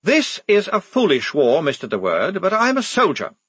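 A man's voice: a little high, huge pitch swings, moderate pace, a bit bright, husky, no room sound, pitched above the performer's own voice, heavily acted. A man doing a stiff, earnest young lieutenant. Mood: defiant. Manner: speechmaking, stiff and formal. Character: nervous, earnest young male lieutenant, formal.

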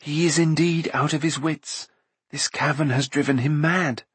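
A man's voice: on the high side, fairly even intonation, moderate pace, a bit bright, husky, no echo, pitched above the performer's own voice. A man playing an earnest, anxious young hero in a fantasy audiobook, speaking urgently. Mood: alarmed. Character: earnest young male hero, anxious but trying patience.

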